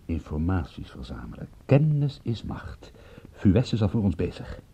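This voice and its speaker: An actor in a radio drama playing a wry, stubborn private detective with an offhand way of talking. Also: male voice, low-pitched, big pitch swings, normal speed, vocal fry, dark tone, slight background noise, exaggerated voice. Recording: muffled, dull old radio recording.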